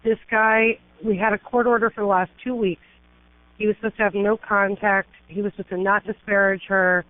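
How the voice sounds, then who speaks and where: A woman's voice: mid-range voice, flat delivery, a little fast, no room sound. A middle-aged woman attorney calling an emergency line, urgent and pleading, piling up emphatic lists of complaints. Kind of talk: emergency phone call. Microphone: telephone. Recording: tinny phone line.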